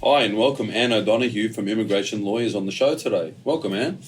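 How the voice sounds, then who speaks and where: A man's voice: fairly low pitch, fast talker, soft background music. A man hosting a podcast, interviewing in a casual, chatty style.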